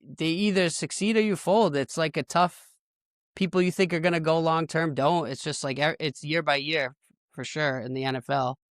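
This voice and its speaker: A man's voice: fairly high pitch, steady tone, moderate pace, a bit bright, no echo, studio quality. A middle-aged American man hosting a casual podcast chat in an informal, slangy way. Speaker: podcast host.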